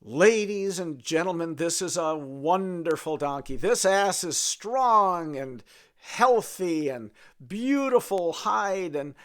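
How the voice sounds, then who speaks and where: A man putting on a booming showy auctioneer. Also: lively intonation, slow pace. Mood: hearty salesmanship. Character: loud, showy male auctioneer praising his wares.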